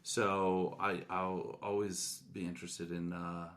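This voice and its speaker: A man's voice: sits low, little pitch movement, slow, fairly bright tone. A middle-aged man co-hosting a podcast, chatting casually in an agreeable, reassuring way. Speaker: podcast co-host. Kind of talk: casual chat. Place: indoors, in person with co-hosts.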